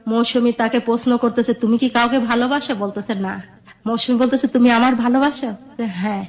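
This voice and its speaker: A woman's voice: fairly high pitch, steady tone, fairly quick, darkish tone. A middle-aged woman with a Bangladeshi accent, earnestly telling her life story in an interview, repeating herself and quoting conversations as she goes. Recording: dull, muffled lo-fi audio.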